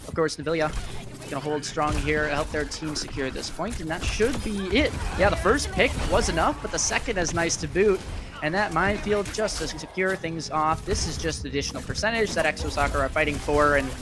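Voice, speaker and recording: High-voiced male esports commentator over music. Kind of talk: live esports game commentary.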